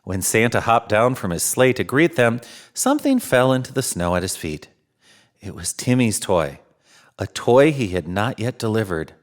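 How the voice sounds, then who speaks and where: A man narrating a children's storybook theatrically, with drawn-out emphasis and chuckles. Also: lowish voice, sing-song. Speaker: storyteller in Santa persona.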